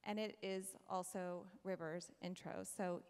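A woman's voice: on the low side, fairly even intonation, darkish tone, clear, resonant tone. Woman introducing next act in a hall. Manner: casual, slightly halting with fillers. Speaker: show host. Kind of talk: stage introduction. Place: church auditorium stage. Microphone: handheld mic.

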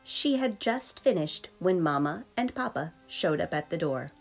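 A woman reading a storybook aloud for young children, expressive, measured and clearly phrased. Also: lowish voice. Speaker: storybook reader. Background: soft background music.

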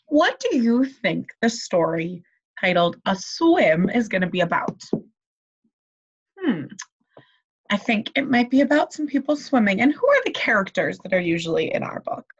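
A young woman, a teacher reading a children's story aloud in an animated way and asking her listeners questions. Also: mid-range voice, breathy edge. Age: young adult. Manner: animated, expressive. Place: small bedroom, indoors. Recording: slightly dull webcam audio.

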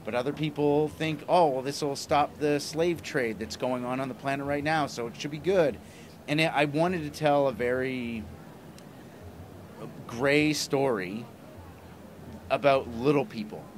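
Middle aged guy, medium pitch, excited rambly interview chat, bit of noise behind. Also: a little slow. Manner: enthusiastic, rambling, emphatic. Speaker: comic writer, podcaster and interview guest. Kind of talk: casual convention interview. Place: small plywood booth at a convention. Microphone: handheld microphone held by interviewer. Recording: clear background noise at convention.

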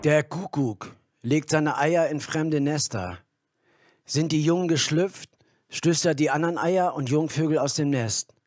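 Actor as a slow, cold, didactic male lecturer. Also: on the high side, a little mellow, natural voice. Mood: cold, instructive. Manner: deliberate, didactic. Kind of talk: scripted radio play narration. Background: music.

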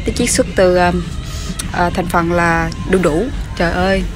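A woman in her thirties chatting casually as she walks a pharmacy aisle, talking into a phone camera held close and filling pauses with hesitations and restarts. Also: fairly low pitch, steady tone, music in the background. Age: 30s. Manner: chatty, many fillers and restarts. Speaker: shopping vlogger. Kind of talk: casual product walkthrough. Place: large pharmacy store, indoors.

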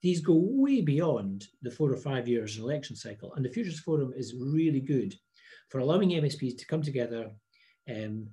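A middle-aged man answering interview questions over a video call from an office, measured and often restarting his phrases. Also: mid-range voice, big pitch swings, warm tone. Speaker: parliament presiding officer. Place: office with blinds and a plant.

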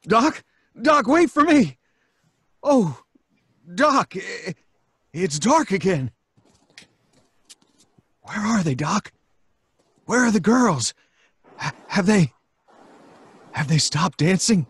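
Nervous, husky rustic townsman. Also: high-pitched, very animated, slow pace, long pauses, a bit bright, no room sound, exaggerated voice. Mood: terrified. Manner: stammering, fearful. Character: nervous, superstitious rustic townsman, reluctant sidekick. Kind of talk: old-time radio horror acting.

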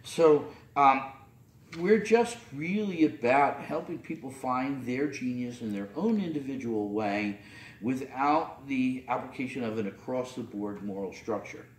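A man's voice: mid-range voice, expressive, measured pace. A middle-aged man, a priest, giving a loose, unscripted sermon full of fillers and restarts from a pulpit in a small chapel. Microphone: camera at a distance. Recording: roomy, distant camera audio.

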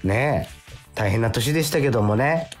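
A man's voice: mid-range voice, lively intonation, normal speed. A man hosting a radio show, chatting casually and reading out listener letters in a jovial, emphatic way. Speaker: actor. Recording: music behind the talk. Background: background music.

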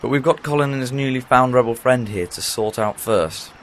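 An eccentric, brisk time-travelling doctor, as a man plays him, quick with old-fashioned exclamations. He speaks at a middling pitch with faint background noise, as in a fan-made radio play. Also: close to an everyday voice.

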